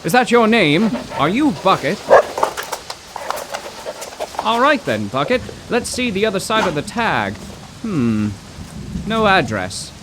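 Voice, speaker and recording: An actor playing an excitable, bookish professor in a 1930s radio drama, theatrical and wordy with old-fashioned exclamations. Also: male voice, high voice, slow pace, pauses often, heavily acted. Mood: curious, friendly. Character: excitable, bookish 1930s male poetry professor, stranded motorist. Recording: rain and road noise.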